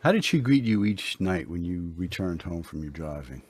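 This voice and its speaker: A man acting in a radio drama as a mysterious, gentle, fatherly holy stranger who speaks in solemn, measured, old-fashioned biblical phrases. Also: sits low, big pitch swings, slightly rough, exaggerated voice. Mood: gently probing.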